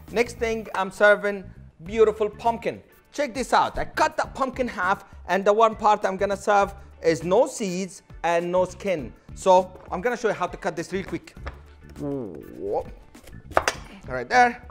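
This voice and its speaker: A man in his thirties, a chef giving an enthusiastic cooking demonstration on a studio kitchen set, on a lapel mic. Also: high voice. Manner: enthusiastic, non-native phrasing.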